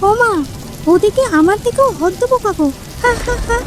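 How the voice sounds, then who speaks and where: A woman's voice: very high-pitched, lively intonation, moderate pace, noisy background. A woman voicing a cheeky, greedy little village girl in a folk-tale cartoon, childlike in manner. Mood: teasing.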